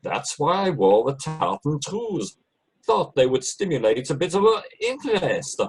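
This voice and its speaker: Radio play Scot played by a man: husky, unhurried, keen and proud, swinging intonation. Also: a little high, sing-song, soft background music, full character voice. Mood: eager. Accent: Scottish. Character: eager, proud Scottish society member in tartan trews. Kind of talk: amateur radio play acting.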